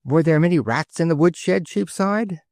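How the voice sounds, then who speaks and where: A man's voice: on the high side, normal speed, a little mellow, no echo, clean studio sound, voice pushed up, lightly acted. A man voicing a curious male house dog who speaks eagerly.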